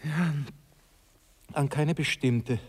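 An actor playing a smooth-talking, prying Viennese seducer past thirty in a radio play, speaking hesitantly and insinuatingly. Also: male voice, fairly high pitch, slow pace. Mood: tender, wistful. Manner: hesitant, insinuating, repeating words. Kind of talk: German-language radio play acting.

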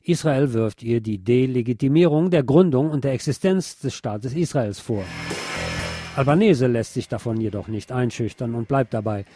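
A man reading scripted radio news in a measured, formal manner. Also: medium pitch, dark tone, soft background music.

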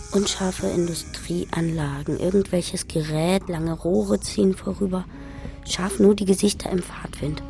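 Slow, dark-voiced pensive daughter. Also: female voice, lowish voice, creaky. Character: pensive daughter narrating her memories of the zone. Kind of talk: radio drama narration, reflective monologue.

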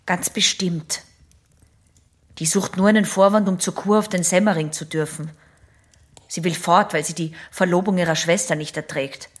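A woman's voice: fairly low pitch, steady tone, normal speed, lightly acted. An actress playing an anxious teenage girl patient in a German radio play, hesitant, secretive and intense. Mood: defensive.

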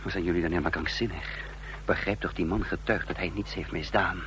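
An actor playing a tense, commanding conspirator and first-person narrator in a radio drama, emphatic and dramatic. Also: male voice, low voice, fairly even intonation, fairly quick, a bit bright. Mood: exasperated. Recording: noisy, humming old radio recording.

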